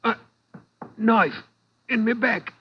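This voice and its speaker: A high, gasping wounded informant. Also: male voice, takes it slowly, slight background noise. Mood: pained, desperate. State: weak, gasping, in pain. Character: gravely wounded male informant, gasping out warnings. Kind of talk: 1940s radio acting. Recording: dull, lo-fi 1940s radio recording.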